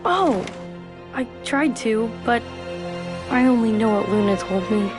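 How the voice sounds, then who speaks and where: A woman's voice: fairly high pitch, animated, unhurried, over music. A woman voicing an innocent young boy prince in a video game, speaking hesitantly and earnestly. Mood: shy, earnest. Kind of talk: dramatic video game voice acting.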